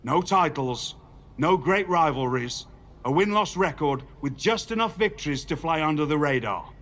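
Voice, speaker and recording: A stern, paternal older male boss in a wrestling video game. His voice sits a little high for a man, and he speaks formally and measuredly, admonishing like a mentor. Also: expressive, moderate pace, fairly bright tone. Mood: stern, challenging. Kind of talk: dramatic video game cutscene dialogue.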